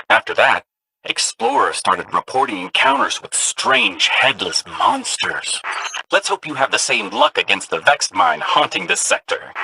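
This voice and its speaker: A man's voice: on the high side, creaky, bright, forceful tone, husky, exaggerated voice, low-quality recording. An actor voicing an eager, chatty male ghost companion robot in a video game, a curious storyteller of spooky legends. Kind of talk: game voice lines.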